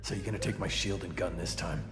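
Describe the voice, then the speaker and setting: An actor playing a grim, vengeful cop in a video game, with an American accent and a menacing, hushed growl. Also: male voice, deep voice, fairly even intonation, fast talker, lightly acted. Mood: grim resolve. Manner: menacing, terse. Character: grim vengeful male cop out for his father's killer. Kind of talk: dramatic video game cutscene acting. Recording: echoey reverberant hall, loud music behind.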